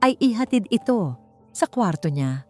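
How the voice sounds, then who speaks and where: A woman's voice: mid-range voice, very animated, a little slow. A woman voicing a menacing, condescending male boss in an audiobook drama, coaxing and taunting, with music behind. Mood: secretive, commanding. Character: menacing, manipulative male hotel boss, sneering and bribing.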